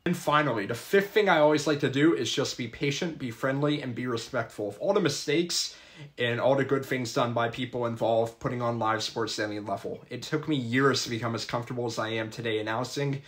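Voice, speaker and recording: A man's voice: mid-range voice, brisk, fairly bright tone. Earnest young man giving advice. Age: young adult. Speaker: public address announcer. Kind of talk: scripted advice vlog.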